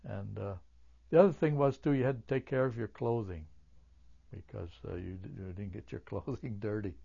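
Older man with a gravelly, halting voice. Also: on the low side, warm tone, dull audio. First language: German. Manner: halting, many fillers and restarts. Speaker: oral history interviewee. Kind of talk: oral history interview.

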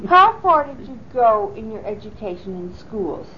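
A woman conducting an oral history interview, asking questions in a slow, measured way. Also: a little high, very animated, a little hiss or hum. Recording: dull lo-fi 1980s tape recording.

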